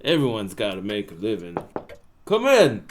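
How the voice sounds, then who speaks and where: A man's voice: on the low side, huge pitch swings, normal speed, slight background noise. A man playing a 1920s gangster boss, genial but menacing, talking in slangy wiseguy patter in a noir radio drama. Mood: relaxed, expansive.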